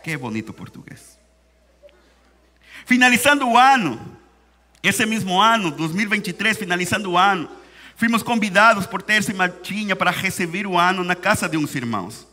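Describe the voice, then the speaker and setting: A man from Venezuela with a Spanish accent, preaching a sermon in Portuguese, slowing down and repeating key phrases for emphasis. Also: high-pitched, expressive, sharp tone. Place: church hall. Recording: church hall reverb.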